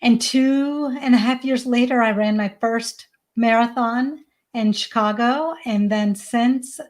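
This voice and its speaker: A woman's voice: a little high, fairly even intonation, slow pace. Earnest, emphatic woman guest. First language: Hindi. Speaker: parenting coach guest. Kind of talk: casual podcast interview.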